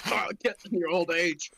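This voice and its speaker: A man's voice: high-pitched, expressive, slow pace, gravelly, noisy background. A man improvising comic, sweary banter during a playful script reading. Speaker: cast member in a comedy script reading.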